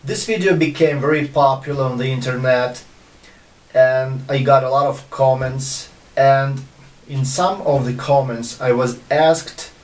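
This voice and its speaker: A man from Russia with a Russian accent, talking casually to viewers as a video host in a hesitant, halting way. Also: medium pitch, fairly even intonation, recorded from a distance. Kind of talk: casual vlog talk to viewers.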